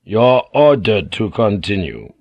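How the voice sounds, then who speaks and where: A man voicing a calm older warlord who speaks deliberately and with quiet command. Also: fairly low pitch, dry recording, studio quality, heavily acted. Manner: deliberate, controlled.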